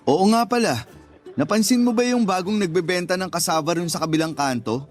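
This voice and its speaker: A man's voice: sits high, brisk, faint music behind, natural voice. A man acting a teasing, naive customer and suitor in a Tagalog radio drama, joking and placating. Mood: placating, clueless.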